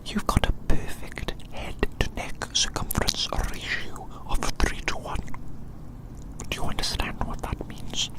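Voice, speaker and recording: A woman whispering breathily in an ASMR roleplay as a fussy, curious examiner, softly repeating her words and phrases in a reassuring way. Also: unhurried, poor audio. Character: fussy, curious examiner measuring a little creature.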